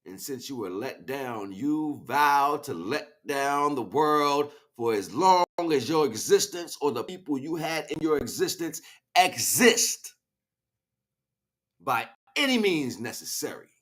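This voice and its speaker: A man delivering an impassioned, improvised spiritual monologue, preachy and grandiose, swinging between hushed asides and loud, emphatic declarations. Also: a little high, sing-song, takes it slowly. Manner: preachy, grandiose, repeats phrases for weight. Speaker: spiritual speaker, streamer.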